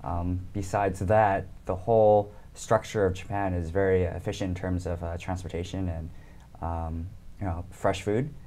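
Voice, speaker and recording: Deep-voiced man chatting easily. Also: normal speed, slight background noise. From United States. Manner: relaxed, lots of ums and laughs. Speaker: interview guest, model. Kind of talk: casual interview chat.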